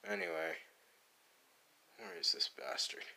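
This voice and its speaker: A man playing a cool, menacing young anime villain in an ASMR roleplay, drawling and teasing in a deadpan, gravelly way. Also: unhurried. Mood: quietly menacing. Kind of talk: ASMR roleplay addressed to the listener.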